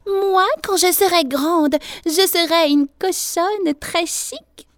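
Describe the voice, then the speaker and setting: Woman as a little girl piglet, storybook character, high voice, dreamy, excitable, boastful. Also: slow, no room sound, clean studio sound. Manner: exclaiming, daydreaming aloud. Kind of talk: children's storybook voice.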